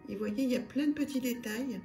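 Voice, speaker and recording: A slightly hoarse woman hosting her own channel, reviewing card decks in a soft, hesitant way full of fillers. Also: on the high side, a little fast, reverberant, faint music behind. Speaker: tarot and oracle channel host. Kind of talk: casual card deck review.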